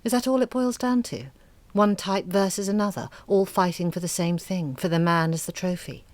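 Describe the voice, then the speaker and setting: A woman's voice: fairly low pitch, fairly even intonation, moderate pace, no room sound, natural voice. An actress playing a guarded woman who answers coolly and reluctantly. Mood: questioning. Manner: cool, reluctant replies. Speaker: supporting character in audio drama. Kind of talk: audio drama acting.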